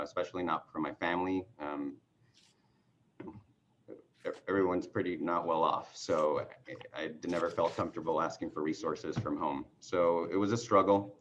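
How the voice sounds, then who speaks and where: A middle-aged man from Mexico, whose was not English, giving a halting, filler-heavy self-introduction over a video call. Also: deep voice, a bit bright, muffled recording, a little hiss or hum. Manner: rambling, halting with many fillers and restarts. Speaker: party chair candidate. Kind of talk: casual conversational self-introduction.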